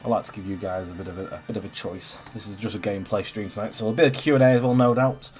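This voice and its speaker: A man's voice: fairly low pitch, steady tone, rapid-fire, darkish tone, faint music behind. A man in his thirties with a stuffy, congested voice, casually chatting as he hosts a gameplay livestream, rambling with lots of fillers. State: congested with a cold. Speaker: stream host.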